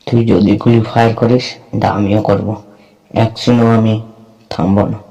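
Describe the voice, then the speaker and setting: A man's voice: fairly low pitch, little pitch movement, normal speed, gravelly, dark tone, poor audio. A man playing a brave, stubborn young fighter who protects a lost girl, in plain amateur cartoon dialogue. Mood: defiant.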